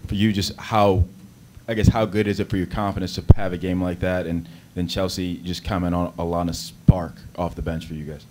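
A male sports reporter asking players questions at a press conference, brisk and restarting his questions. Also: low-pitched, level tone, very noisy.

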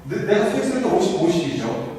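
High-pitched man coaching in an echoey hall. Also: big pitch swings, fairly quick, warm tone. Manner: encouraging, repeats short prompts. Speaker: walking posture coach. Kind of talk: hands-on instruction to trainees. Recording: echoey hall, distant mic, faint noise.

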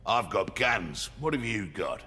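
An actor playing a cocky, sleazy mercenary crime boss in a video game, with a rough Australian accent and a taunting manner. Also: male voice, fairly low pitch, sing-song, measured pace, noisy background. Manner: taunting, sardonic. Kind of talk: video game character banter. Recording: game audio over loud ambience and music.

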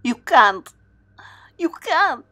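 Woman playing anguished, slow-spoken defeated scientist. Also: high-pitched, very animated, sharp tone, heavily acted. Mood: urgent. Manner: pleading, halting, intense. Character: Katya, anguished, defeated female military scientist. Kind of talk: audio drama acting.

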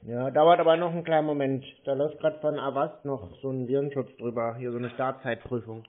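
A man's voice: medium pitch, steady tone, brisk. A man chatting casually on a recorded speakerphone call, playing naive with an obtuse, rambling, literal-minded manner. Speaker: prank caller on scam call centres. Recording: muffled lo-fi phone recording, faint noise.

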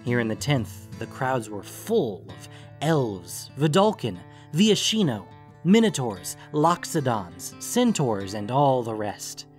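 A man's voice: mid-range voice, big pitch swings, slow. A man reading scripted fantasy fiction as an audiobook narrator. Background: music.

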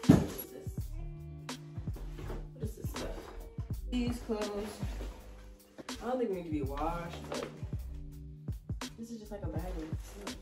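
A woman's voice: medium pitch, lively intonation, measured pace, long pauses. A woman in her twenties with an American accent chats casually and informally to camera in slangy language while doing chores at home. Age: 20s. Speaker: home and cleaning vlogger. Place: inside house rooms, bedroom and basement. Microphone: camera or phone set at a distance. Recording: roomy home echo, distant mic, background music. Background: music.

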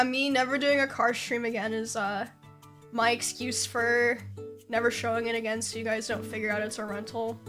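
A young woman talks casually to her stream from a bedroom setup, her voice high and congested. She sounds playfully exasperated as she jokes with her chat. Faint music plays behind her. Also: pushed and bright. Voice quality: nasal, congested.

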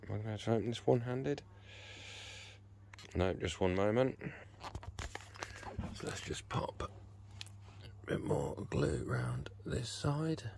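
A British man in his forties with a deep voice talks casually through a hands-on job. He is low-key and half talks to himself, recorded on a handheld phone with a little ambient noise behind him. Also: sing-song, slow pace, vocal fry, long pauses, darkish tone. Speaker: vlogger demonstrating a repair. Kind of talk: casual running commentary on a DIY job. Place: covered outdoor patio by a hot tub. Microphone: handheld phone camera mic.

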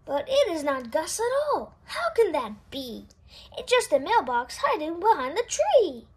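A woman reading a children's picture book aloud in an expressive, sing-song way. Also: extremely high pitch, a little slow. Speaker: storybook reader. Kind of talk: children's read-aloud narration.

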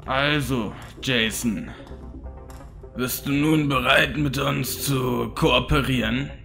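A man playing a slow-talking, coercive thug in an audio drama. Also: mid-range voice, fairly even intonation, vocal fry, sharp tone, faint music behind, heavily acted. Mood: menacing.

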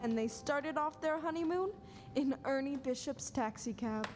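A woman's voice: sits high, normal speed, natural voice. A woman playing a gracious radio show announcer, reading scripted links. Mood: cheerful. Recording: reverberant auditorium, music behind.

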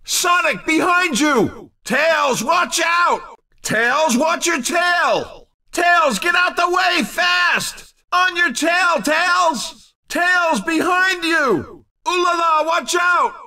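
An actor voicing an excitable, showy racing game commentator with a shouted, punchy delivery. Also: male voice, very high-pitched, drawn-out words, distant mic, exaggerated voice. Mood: urgent, alarmed. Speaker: race commentator.

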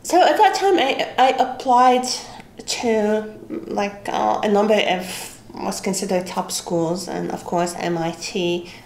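A woman's voice: medium pitch, slow, gravelly. A woman from Syria, Arabic her first language, answering interview questions thoughtfully in an office, restarting often. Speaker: interviewee, computer scientist. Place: office with desk, indoors. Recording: roomy office echo, faint hiss.

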